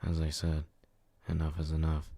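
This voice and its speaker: A man's voice: rumbling low, monotone, normal speed, gravelly, dark tone, no room sound, heavily acted. A man playing a devoted, brooding, hot-headed but tender yandere in an ASMR roleplay, speaking with earnest intensity. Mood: resigned tenderness.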